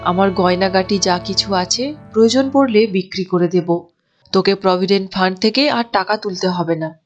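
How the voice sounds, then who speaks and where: A woman playing a caring, gently worried middle-aged mother in a cartoon story, speaking in a solicitous, motherly way. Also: medium pitch, normal speed, highs cut off, soft background music, lightly acted. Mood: reassuring. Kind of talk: Bengali cartoon story acting.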